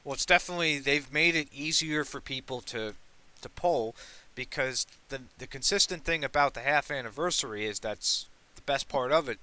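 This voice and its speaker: A young man hosting a casual gaming podcast. He talks in an excitable, rambling way and swears casually. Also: mid-range voice, normal speed. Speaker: podcast host.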